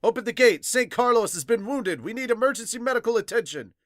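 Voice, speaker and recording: Host plays a manga palace guard: official, formal, anxious, lively in pitch. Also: fast talker, dry recording, studio quality, lightly acted. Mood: urgent.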